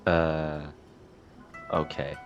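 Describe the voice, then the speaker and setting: A man's voice: extremely low pitch, flat delivery, extremely slow, lo-fi sound. A man giving casual, laconic and hesitant commentary while playing a story game. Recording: game music faintly behind.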